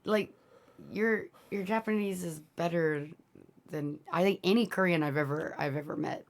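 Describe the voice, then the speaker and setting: A woman with a somewhat low voice chats casually while reacting to a video. She talks expressively and fills her speech with "like" and "I mean.". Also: normal speed, a little hiss or hum. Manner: chatty and expressive, full of "like" and "I mean". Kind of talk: casual chat.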